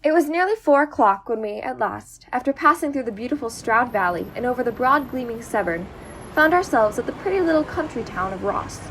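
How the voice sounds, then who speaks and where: A woman playing an earnest male companion who narrates an amateur audio drama in a measured, literary way. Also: a little high, lively intonation, fairly bright tone, slight background noise, poor audio. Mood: storytelling.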